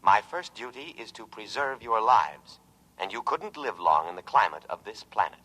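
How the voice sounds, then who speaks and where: Talking lifeboat computer, 1950s radio sci-fi, bright hard tone, formal, precise, officious, dutiful. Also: male voice, fairly low pitch, animated, normal speed, rough recording. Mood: grave, dutiful. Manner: formal, precise, patriotic, unyielding. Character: sentient military lifeboat machine, officious, dutiful, solicitous. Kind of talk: 1950s radio drama acting. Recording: vintage radio recording.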